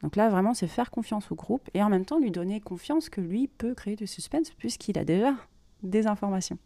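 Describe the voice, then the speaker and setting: A woman hosting a podcast, explaining things informally in a monologue and pausing as she thinks aloud. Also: medium pitch, lively intonation, creaky, mellow, no echo. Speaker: podcast host and creative writing workshop leader.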